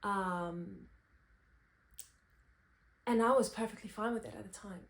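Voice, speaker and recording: A woman in her twenties from Romania, reflecting candidly and thoughtfully without a script, in halting phrases. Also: medium pitch. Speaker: vlogger, singer-songwriter. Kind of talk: unscripted personal reflection. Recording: roomy, echoey sound.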